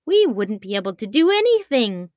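Storybook young sister, read by a woman: earnest, firm, practical, lively high voice. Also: moderate pace, no room sound, studio quality. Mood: scolding. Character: sensible, firm young girl, the worried sister.

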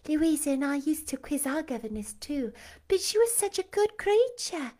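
A woman reading aloud, voicing a meek young girl with a soft, childlike voice who chatters gently. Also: sits high, sing-song, normal speed, pitched above the performer's own voice. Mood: gossipy.

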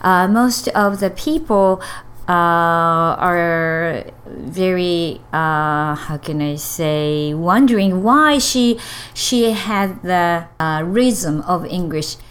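A woman co-hosts a relaxed English-learning chat, talking at an unhurried pace. Her voice is rough and hoarse from a lingering cold, and she often stops on fillers while she hunts for the right word. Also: lowish voice. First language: Japanese. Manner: searching for words, many fillers. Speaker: podcast co-host.

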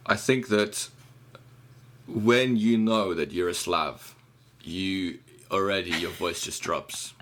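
A man's voice: sits low, slow pace, fairly bright tone, slight background noise. A young man from Ukraine with a Ukrainian accent, a musician guest chatting on a podcast, rambling and correcting himself with wry humor. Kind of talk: casual podcast conversation.

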